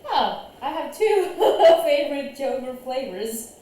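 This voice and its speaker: A man's voice: very high voice, sing-song, takes it slowly, a little mellow. A man hosting a podcast, chatting casually in a laid-back way and chuckling between questions. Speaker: podcast host. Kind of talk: casual interview chat. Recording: very echoey room with faint noise.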